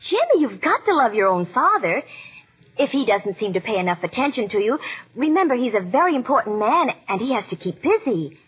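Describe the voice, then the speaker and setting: A woman's voice: fairly high pitch, very animated, brisk, husky, heavily acted. An actress playing a regretful, tender young American wife and mother, earnest and emotive in 1940s radio drama style. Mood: gentle, uneasy. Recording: dull old radio broadcast, loud music underneath.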